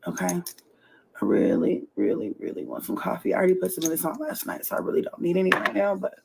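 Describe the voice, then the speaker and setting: A woman just out of bed, talking softly and half whispering in a morning vlog, her voice hoarse. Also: lowish voice, very animated, fast, heavy background noise. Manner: soft and sleepy, partly whispered.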